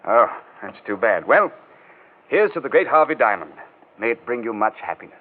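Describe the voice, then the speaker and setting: An actor playing a courteous business guest in a 1940s radio drama, polite and a little halting. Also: male voice, medium pitch, very animated, narrow, tinny audio, lightly acted. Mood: affable. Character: courteous male business guest, growing faint. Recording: thin band-limited old radio recording.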